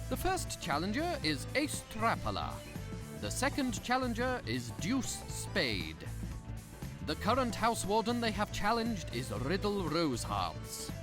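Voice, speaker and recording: A man's voice: high-pitched, full character voice. A man voicing a theatrical headmaster in a game fan dub, making grandly formal announcements. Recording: music under the voice.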